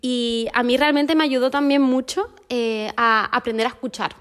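Una mujer joven con acento de España, invitada en un pódcast, charlando y contando anécdotas entre risas. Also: female voice, fairly high pitch, steady tone, moderate pace, a bit bright, smooth. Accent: Spain Spanish. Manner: chatty, laughing storyteller. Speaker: podcast guest. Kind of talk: casual conversation.

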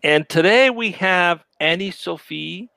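A man's voice: high voice, slow pace, vocal fry, sharp tone, dry recording. A man hosting a live web show over a video call, chatty and upbeat, laughing easily between remarks. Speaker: show host.

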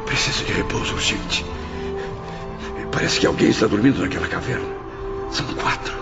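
An actor playing the anguished, weakened spirit of a gentleman in a theatrical radio drama, with formal, lamenting diction. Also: lowish voice, fairly quick, vocal fry. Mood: exhausted, despairing. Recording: muffled radio drama with loud music.

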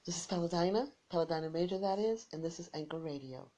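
A tired woman who is losing her voice, rambling through friendly shout-outs to listeners on her small internet radio station. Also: lowish voice, fast. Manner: rambling and warm, repeats her sign-off.

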